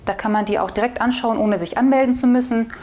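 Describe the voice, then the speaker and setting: A woman's voice: a little high, quick pace. Chatty young woman hosting local news. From northwestern Germany. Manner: chatty and relaxed, reading short news items. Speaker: video host of a regional newspaper. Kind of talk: casual weekly news roundup. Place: studio in front of a blue backdrop. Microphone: lapel mic.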